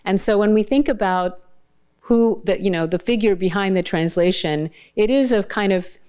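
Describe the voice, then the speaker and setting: A woman whose first language is Bengali, giving an academic lecture with slides and often pausing to search for her words. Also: medium pitch, a little mellow, clear, resonant tone. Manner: thoughtful, searching for words mid-sentence. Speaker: translator giving a keynote talk.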